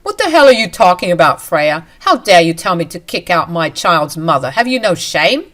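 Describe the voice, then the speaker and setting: A woman reading a story aloud, voicing an angry, entitled husband who barks orders in a loud, demanding way. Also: lowish voice, animated, moderate pace.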